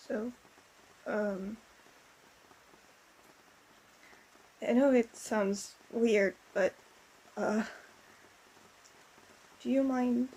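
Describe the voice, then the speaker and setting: A woman playing a distraught, lonely young female ghost in an emotional audio roleplay, speaking haltingly with stammered repetitions. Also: a little high, darkish tone, husky, exaggerated voice, low-quality recording. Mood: subdued, relieved.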